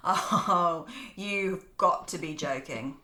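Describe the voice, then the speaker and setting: A young woman playing a jaded, sharp-tongued woman in an audio drama, blunt and sardonic in manner. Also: lowish voice, slow pace, very noisy. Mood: annoyed. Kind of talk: naturalistic audio drama acting.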